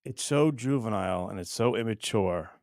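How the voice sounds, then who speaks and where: A middle-aged man hosting a livestream with casual, rambling chat, blunt and crude in his joking, going between muttering and loud bursts. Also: lowish voice, expressive, moderate pace, dry recording, studio quality. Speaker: livestream show host.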